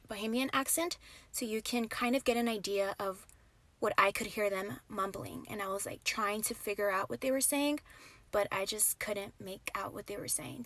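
A young adult woman hosting a podcast, telling a personal story in an animated, conversational way with dramatic pauses. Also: medium pitch, no room sound. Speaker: podcast host. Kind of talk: storytime narration.

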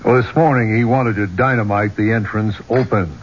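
An actor playing an affable but hot-headed archaeologist with a casual, blunt manner in a 1970s radio drama. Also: male voice, lowish voice, normal speed, lightly acted. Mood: defensive. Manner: casual, plain-spoken, blunt. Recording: muffled, noisy 1970s radio recording.

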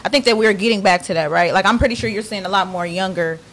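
Brief: woman host, teasing and playful, middle pitch, crowd chatter clearly behind. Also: quick pace. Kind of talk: casual podcast chat.

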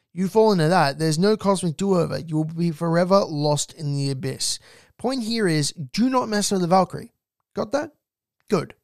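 A man's voice: a little high, no echo. Emphatic male podcast host, close and dry. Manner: emphatic, talks straight to the listener with asides. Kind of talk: solo podcast narration on folklore.

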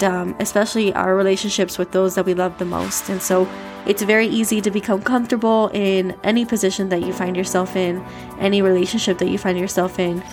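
A stuffy, congested woman with a nasal edge talks through a daily devotional in an earnest, upbeat way, then leads a prayer. Her pitch sits mid-range for a woman, and soft music hums faintly underneath. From Miami, Florida. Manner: earnest, upbeat and chatty, with fillers. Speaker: devotional podcast host. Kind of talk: casual devotional talk and spoken prayer.

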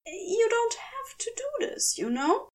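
A fussy male angel from a fantasy audiobook, played by a woman, prim and indignant. The voice sits high and flutters with anxiety, swinging widely in pitch, a little distant from the microphone. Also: very animated, slow pace, heavily acted. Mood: anxious. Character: fussy, anxious, easily exasperated male angel. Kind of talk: fan fiction audiobook character voice.

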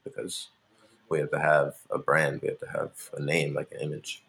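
A young man from Maryland with a very deep voice that drops into a gravelly fry. He talks as an interview guest in a relaxed, rambling way, full of "like" and "you know what I mean". The tone stays easygoing and conversational throughout. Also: normal speed. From Maryland, USA. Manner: laid-back, rambling, many fillers.

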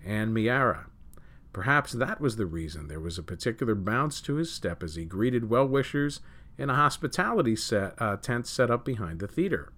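A man hosting a news program, reading a written article aloud in a measured storytelling way with emphatic phrase openings. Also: medium pitch, fairly bright tone. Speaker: news program host and reader.

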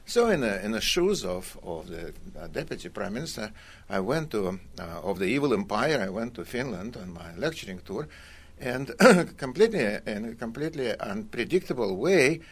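A man's voice: fairly low pitch, very animated, normal speed, husky. An older man from Russia with a Russian accent, telling his story in a hesitant, conversational way with wry, dry humour. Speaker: college academic guest. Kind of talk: conversational autobiographical storytelling in an interview.

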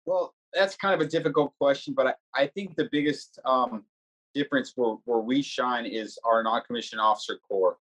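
A man from the army answering interview questions in a measured way with frequent fillers. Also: medium pitch, fairly bright tone, low-quality recording.

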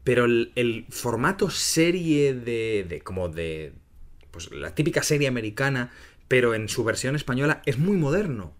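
A man's voice: medium pitch, big pitch swings, normal speed. A middle-aged man from Spain hosting a casual podcast and explaining ideas for learners, often ending points with a questioning tag. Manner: explains terms clearly, often asks "¿no?". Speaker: podcast host and Spanish teacher.

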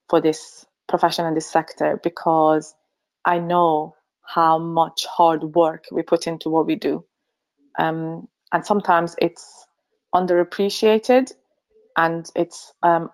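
A middle-aged woman from Finland, a pharmacy leader giving an interview, speaking thoughtfully with frequent ums and easy laughs. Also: lowish voice. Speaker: pharmacy association chief executive, guest. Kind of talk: remote interview.

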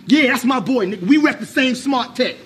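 A man's voice: very high-pitched, animated, a little fast, a bit bright, a little hiss or hum. An actor playing a cocky, streetwise male salesman in a film comedy, insistent, slangy and confrontational. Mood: blustering. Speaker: electronics store salesman.

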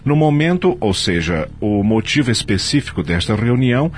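An actor playing a self-assured, commanding company director in a radio soap opera, speaking formally as if giving a speech. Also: male voice, lowish voice, very animated, moderate pace, a little hiss or hum. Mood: businesslike.

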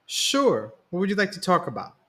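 A man's voice: fairly high pitch, expressive, moderate pace, fairly bright tone, voice pushed up. Male narrator playing a proud teenage son, raised voice, pushing back on his father. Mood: eager. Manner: angry but respectful. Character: teenage boy, proud, defensive, newly cocky.

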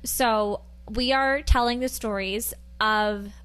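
A woman's voice: fairly high pitch, fairly even intonation, takes it slowly, a bit bright, smooth. A woman co-hosting a podcast, chatting casually with lots of fillers. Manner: chatty, rambling with many fillers. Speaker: podcast co-host. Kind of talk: casual chat.

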